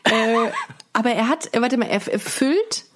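A woman hosting a podcast in casual, joking chat, often halting and searching for words mid-sentence. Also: fairly high pitch, big pitch swings. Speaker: podcast host.

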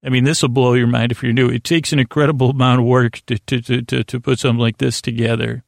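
A man hosting a sleep podcast, talking in a soft, lulling, meandering way that stops, restarts and wanders off track. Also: medium pitch, level tone, fast, vocal fry, no room sound, clean studio sound. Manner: meandering, self-interrupting, many restarts. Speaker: podcast host. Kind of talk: rambling late-night sleep podcast talk.

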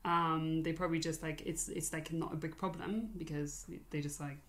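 A woman's voice: sits low, steady tone, normal speed, a bit bright. A young woman from Poland with a Polish accent touched by British sounds, chatting casually and often repeating her opening words. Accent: Polish, with British-sounding elements. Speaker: podcast co-host. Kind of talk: casual chat.

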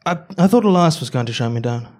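Guy acting awkward formal archivist, new on the job, hesitant and halting, live audio drama. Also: mid-range voice, expressive, a little mellow, close to an everyday voice. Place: live on stage at a festival.

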